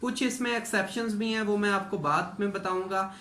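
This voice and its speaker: A man in his thirties with a Pakistani accent, a lawyer explaining law to camera in a formal, halting way with frequent pauses. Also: high-pitched, fairly even intonation, bright, forceful tone. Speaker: lawyer presenting legal advice. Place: small tiled office, indoors. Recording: echoey room with noticeable background noise.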